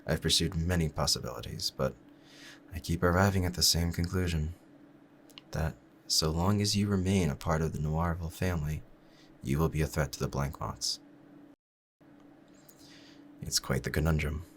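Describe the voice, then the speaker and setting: Man voicing a low, gravelly, lovestruck assassin. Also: a little hiss or hum. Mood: tender, regretful. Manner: measured, formal, confiding. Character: smitten male assassin, calm, proud, menacing yet tender. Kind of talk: scripted romantic ASMR audio roleplay.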